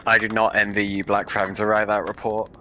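A man's voice: fairly low pitch, flat delivery, fast talker, sharp tone. A man chatting casually with his teammates during a tactical game. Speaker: player in a tactical police game. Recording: faintly noisy online voice chat.

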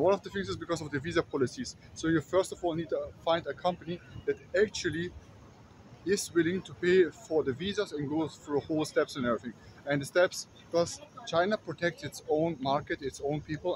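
Brief: thirties man with German accent, somewhat high voice, rambling walk-and-talk vlog, phone held at arm's length outdoors. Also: a little hiss or hum, rough recording. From Kazakhstan. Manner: rambling, many restarts and fillers, walking while talking. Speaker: vlogger. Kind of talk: casual walking vlog talk. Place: city streets at night, outdoors.

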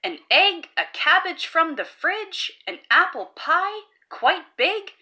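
A woman's voice: extremely high pitch, big pitch swings, takes it slowly, sharp tone. A woman hosting a children's read-aloud, reading a rhyming picture book in a playful, sing-song way. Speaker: storybook read-aloud host.

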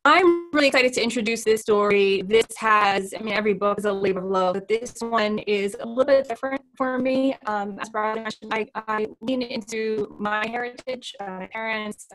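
A woman's voice: medium pitch, a bit bright, rough recording. Earnest woman author on video call. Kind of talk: author talk on a panel. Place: home room with bookshelves.

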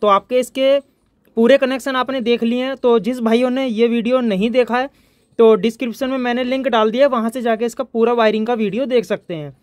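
A man's voice: very high voice, fairly even intonation, fairly quick, no echo. A man from India, presenting an informal hands-on electronics tutorial as its host.

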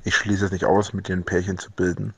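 A man's voice: low-pitched, level tone, fast, creaky, a crowd in the background. A man leaving a flirtatious voice message. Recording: dull lo-fi message with clicks.

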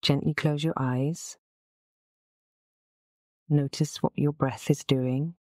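A woman leading a guided relaxation as the instructor, speaking in a gentle, soothing, unhurried manner. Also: sits low, steady tone, no echo, studio quality.

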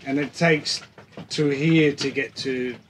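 A man's voice: mid-range voice, steady tone, slow. A man in his thirties chatting casually on a boat deck, explaining a plan step by step in a hands-on way. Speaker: wakeboarder planning a stunt. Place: open deck of an anchored catamaran, around a table. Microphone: camera a few feet away. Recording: clear outdoor background noise, clicks.

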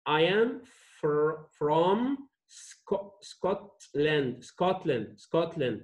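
A man's voice: on the high side, big pitch swings. Man sounding out words for learners. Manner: drawn-out syllable-by-syllable sounding out, repeating each word. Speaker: English teacher. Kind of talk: slow phonics reading lesson, sounding out words. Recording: dull lo-fi screen recording.

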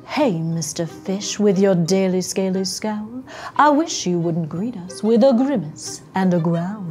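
A woman voicing a gentle, disapproving male jellyfish in a storybook, measured in scolding. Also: mid-range voice, expressive, soft background music, voice pushed down, close to an everyday voice. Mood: mildly reproachful.

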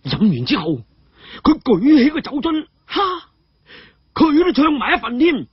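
A man with a Cantonese accent telling a martial-arts tale as an animated storyteller. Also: very high voice, a little slow, slightly rough, no echo, dull audio. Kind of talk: animated wuxia audio storytelling.

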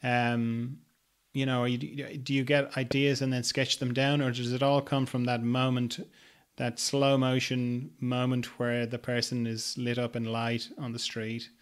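A man, older, hosting a podcast over a video call and gently asking questions with some hesitation. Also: mid-range voice, monotone, a little slow, clear, resonant tone. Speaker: podcast host. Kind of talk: casual video call interview.

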